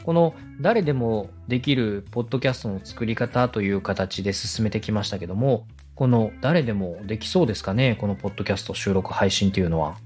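Male podcast host and coach, lowish register, courteous and supportive, soft music bed. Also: a little fast, few pauses. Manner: polite, encouraging. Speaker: podcast host and radio director. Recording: music playing behind the voice. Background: background music.

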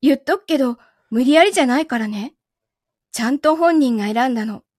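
A woman playing a possessive lover in a voice drama, speaking gently and calmly with quiet menace. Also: high-pitched, no echo, clean studio sound. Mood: gently menacing. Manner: soft, gentle, unhurried delivery without shouting. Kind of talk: Japanese voice drama acting.

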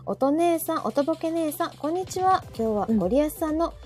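A woman's voice: sits high, expressive, brisk, darkish tone. A woman with a Kansai accent hosting a casual live chat, often chiming in with repeated little acknowledgements. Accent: Kansai Japanese. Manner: frequent repeated backchannels. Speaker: host of a live audio show.

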